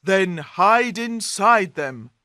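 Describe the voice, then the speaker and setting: A man's voice: sits high, lively intonation, very slow, bright, forceful tone, no echo, clean studio sound, full character voice. A man voicing an angry, scheming bandit chief in a commanding, menacing manner for a storybook. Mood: plotting, commanding.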